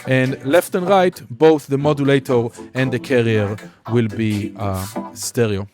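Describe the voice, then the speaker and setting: A man giving an informal, explanatory software walkthrough as a music production instructor. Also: mid-range voice, a little slow. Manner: casual, explanatory. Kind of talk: screen-recorded software tutorial, informal walkthrough.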